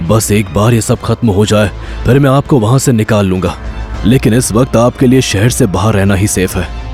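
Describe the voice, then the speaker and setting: A man's voice: on the low side, moderate pace, darkish tone, soft background music, natural voice. Man acting a proud, controlled young man. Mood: pained, subdued. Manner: cold, controlled, commanding. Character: proud, stern, secretive young man with hidden pain. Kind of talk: Hindi audio drama acting.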